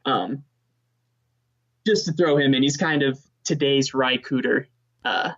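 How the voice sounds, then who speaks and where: A young man, a guitarist, chatting casually as an interview guest in a relaxed, easygoing way, often saying man and dude. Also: measured pace, dull audio. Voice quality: high voice. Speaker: touring session guitarist, guest.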